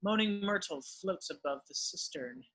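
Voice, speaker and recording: A man's voice: high voice, lively intonation, normal speed, bright, forceful tone, rough recording. A man narrating, reading the stage directions of a screenplay in an amateur read-through.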